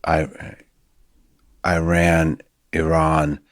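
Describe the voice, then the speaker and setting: Slow, low-voiced man interviewing. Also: monotone, no echo, studio quality. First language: Russian. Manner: slow, measured questioning. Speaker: podcast host. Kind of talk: podcast interview.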